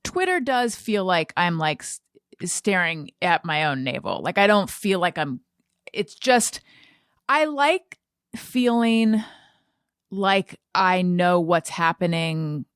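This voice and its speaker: A woman who hosts a podcast, talking casually and reflectively, with hesitant pauses as she thinks. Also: lowish voice, expressive, smooth, no room sound, studio quality. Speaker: podcast host. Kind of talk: casual conversational interview.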